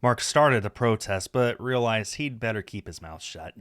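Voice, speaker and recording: Low-pitched man narrating a story. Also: expressive, normal speed, a bit bright, clear, resonant tone, no echo, studio quality. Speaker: streamer reading a visual novel aloud.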